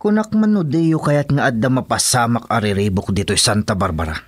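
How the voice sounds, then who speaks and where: A loyal henchman in an Ilocano radio drama, in a medium-pitched voice recorded close and dry. He speaks respectfully and obediently as a crime boss's man, with an Ilocano accent. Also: animated, brisk, slightly rough, dry recording. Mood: wary. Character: deferential henchman of a crime boss, assuring loyalty.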